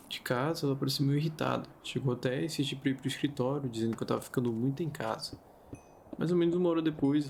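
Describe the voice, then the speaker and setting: Brazilian man, gravelly fry in the voice, casual storytelling, chatty asides, light background noise. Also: mid-range voice, a little mellow. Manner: informal, conversational asides. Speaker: host of a story-reading video channel.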